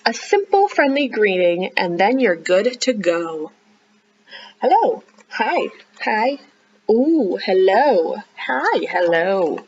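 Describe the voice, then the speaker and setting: A woman children's librarian reading a picture book aloud expressively, with animated, encouraging chatty asides to young listeners. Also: mid-range voice, slow, husky, muffled recording. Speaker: children's librarian hosting storytime.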